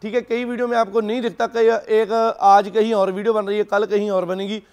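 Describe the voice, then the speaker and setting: A man with a notably high voice works as a cattle seller, presenting his stock in an informal sales pitch. He keeps addressing the listener as sir as he talks. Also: level tone, brisk, a little mellow. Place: dairy farm.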